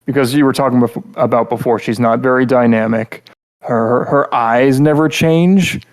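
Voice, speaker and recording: A man co-hosting a podcast, talking through a casual critique in a rambling, halting way and often repeating words mid-thought. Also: mid-range voice. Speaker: podcast host reviewing game characters.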